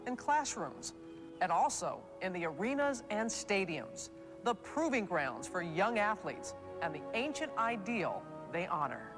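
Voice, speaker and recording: Stately woman narrator over music. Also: medium pitch. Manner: measured, stately, reflective delivery. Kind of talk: scripted documentary narration.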